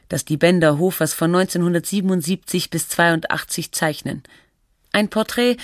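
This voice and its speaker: An actress playing a sober, analytical documentary narrator, reading scripted text in a formal, measured manner. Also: female voice, on the low side, dry recording, studio quality.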